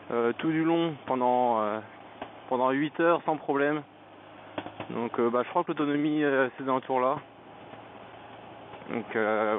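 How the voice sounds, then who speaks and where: Man on tinny, noisy helmet mic. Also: medium pitch. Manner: hesitant, searching for words. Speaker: vlogger reviewing gear. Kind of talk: casual unscripted product review. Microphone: Bluetooth motorcycle helmet headset microphone. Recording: tinny narrow-band helmet intercom mic, background noise.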